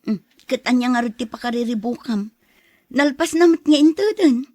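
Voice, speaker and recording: A woman's voice: a little high, moderate pace, mellow, dry recording, studio quality, close to an everyday voice. A woman playing a greedy, persuasive wife who eggs on her husband in a radio drama. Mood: goading. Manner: insistent, persuasive.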